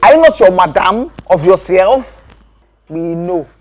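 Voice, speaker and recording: A woman's voice: fairly low pitch, unhurried. An actress playing a dramatic, teasing female best friend in a comedy skit. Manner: teasing, dramatic, animated outbursts.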